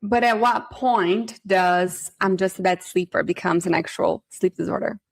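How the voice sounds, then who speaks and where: A woman who hosts a health podcast, her voice carrying a gravelly fry. She puts her questions to the guest in a measured way. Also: on the low side. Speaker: podcast host. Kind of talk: interview questions and comments.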